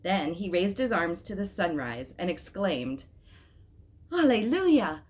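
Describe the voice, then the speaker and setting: A woman reading a storybook aloud as an expressive narrator. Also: lowish voice, a little slow. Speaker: storybook reader.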